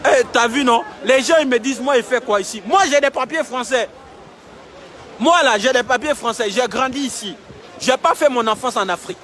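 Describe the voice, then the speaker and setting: Young male streamer, very high-pitched and insistent, phone mic outdoors, faint crowd behind. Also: normal speed, fairly bright tone, slight background noise. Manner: emphatic, repetitive, urging viewers. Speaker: live-streaming vlogger. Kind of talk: impassioned outdoor livestream. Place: outdoors in a city street camp. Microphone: phone held while filming.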